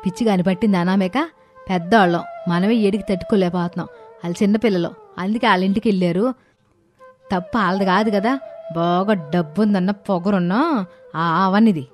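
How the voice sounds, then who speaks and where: Woman acting a sharp-tongued older mother-in-law. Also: mid-range voice, fairly quick, soft background music, natural voice. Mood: scolding, resentful. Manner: scolding, blunt.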